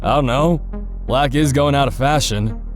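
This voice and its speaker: A man's voice: medium pitch, moderate pace, lightly acted. A man playing a boozy, sardonic, world-weary club-goer in a visual novel, with a teasing drawl. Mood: wry, resigned. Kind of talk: visual novel character acting. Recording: club music behind voice.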